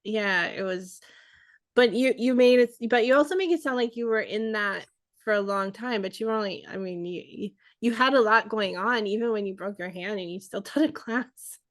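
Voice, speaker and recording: A woman's voice: medium pitch, normal speed, no room sound. Chatty woman in her forties, dry sound. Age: 40s. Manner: chatty, laughs often, many restarts. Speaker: podcast co-host. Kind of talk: casual chat.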